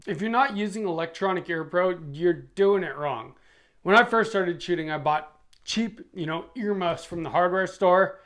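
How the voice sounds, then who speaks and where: A man's voice: high-pitched, moderate pace, a bit bright. A middle-aged man reviewing gear for his channel in a casual, chatty way. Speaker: product reviewer and YouTube channel host.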